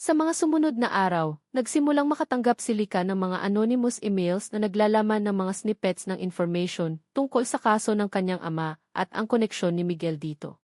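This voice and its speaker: A woman narrates a dramatic love story in a Filipino accent. Her voice sits at a middle pitch. The recording is dry, with no room sound around her. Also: brisk, darkish tone, dry recording.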